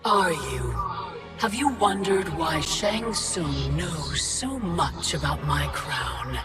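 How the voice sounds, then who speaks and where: A woman's voice: low voice, lively intonation, over loud music, heavily acted. An actress playing an ancient, coldly menacing female Titan in a video game, speaking in formal, commanding tones. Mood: cold, persuasive. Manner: slow, formal, commanding delivery. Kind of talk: dramatic video game villain acting.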